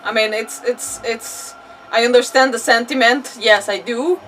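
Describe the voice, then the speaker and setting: A woman streaming with casual game commentary, expressive and rambling as she thinks aloud. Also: fairly high pitch, unhurried, distant mic, faint music behind, rough recording. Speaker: live streamer.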